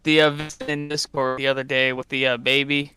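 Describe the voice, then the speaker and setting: A man's voice: medium pitch, flat delivery, a little fast. A man joining a stream as a friend, teasing and laughing through casual banter despite a sore throat. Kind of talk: casual gaming stream chat.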